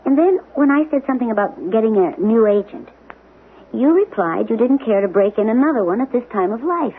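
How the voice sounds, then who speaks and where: An actress playing a sharp, teasing wife who keeps a close, quick eye on her husband's moods. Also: a little high, expressive, breathy edge. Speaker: supporting lead. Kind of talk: 1970s radio drama acting. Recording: tinny lo-fi old radio broadcast with faint hiss.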